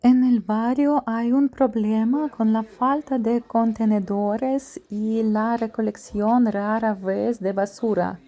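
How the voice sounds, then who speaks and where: A woman narrating her travel vlog as a scripted voice-over, reading slowly and carefully from a memorized translated script. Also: a little high, steady tone, mellow. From outside Paraguay. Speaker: travel vlogger. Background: faint background music.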